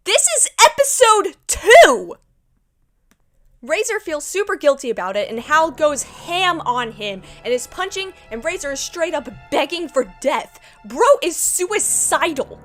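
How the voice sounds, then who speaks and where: A woman giving a casual, excited recap commentary with emphatic, exclamatory, slangy delivery. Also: high-pitched, normal speed, hardly any pauses, faint music behind. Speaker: YouTube video essayist, fan of animated series.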